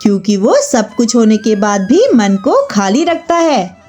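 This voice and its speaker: A woman voicing a humble, wise village oil-presser in a children's story, calm and respectful. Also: mid-range voice, measured pace, faint music behind. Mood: calm, assured.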